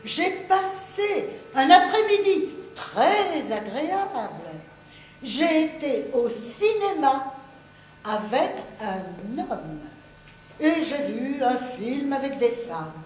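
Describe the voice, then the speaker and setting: A woman playing a blunt, slow-spoken maid on stage. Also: high voice, very animated, exaggerated voice. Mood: matter-of-fact. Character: prim household maid, blunt and scolding. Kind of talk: amateur stage acting. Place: theatre stage before an audience. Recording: very echoey hall, faint noise.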